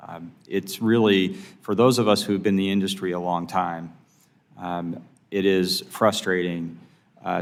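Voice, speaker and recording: An American man with a deep voice gives formal expert testimony. He chooses his words diplomatically, in measured, halting phrases. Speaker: airport management expert testifying as witness.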